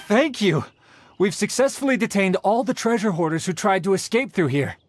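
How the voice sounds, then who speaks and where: A man's voice: high-pitched, expressive, moderate pace, a little hiss or hum. A man voicing a courteous, upright soldier in an anime-style video game, speaking formally and earnestly. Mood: grateful.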